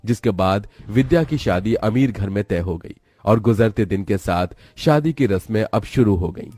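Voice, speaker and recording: A man's voice: lowish voice, lively intonation, normal speed, warm tone, dry recording. A man narrating a scripted, dramatic story as a storyteller.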